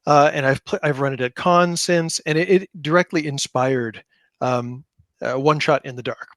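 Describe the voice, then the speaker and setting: Higher-voiced man chatting in a dry room. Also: fairly even intonation, normal speed, dry recording. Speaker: podcast guest, game creator; high school teacher. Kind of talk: casual interview chat, anecdotal storytelling.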